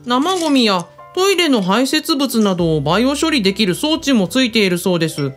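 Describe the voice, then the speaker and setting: A woman's voice: a little high, very animated, brisk, faint music behind. An actress in a radio drama playing a composed female aide with polite, refined phrasing. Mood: polite, concerned.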